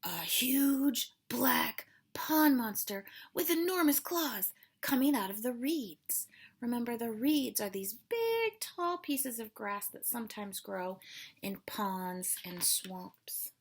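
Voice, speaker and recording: Expressive, slow-paced woman reading to children. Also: on the high side, slightly rough. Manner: expressive, dramatic storytelling. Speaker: elementary school teacher. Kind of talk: children's read-aloud with explanatory asides.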